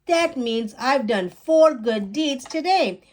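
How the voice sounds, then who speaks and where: Woman voicing an eager young storybook boy, unhurried. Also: high-pitched, expressive, voice pushed up. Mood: proud, excited.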